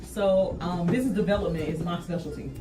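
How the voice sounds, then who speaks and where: A woman's voice: lowish voice, fairly quick, over music. Lady on a podcast talking casual but emphatic, kinda far from the mic, roomy echo. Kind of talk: casual conversational podcast talk. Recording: echoey room, distant mic, faint noise.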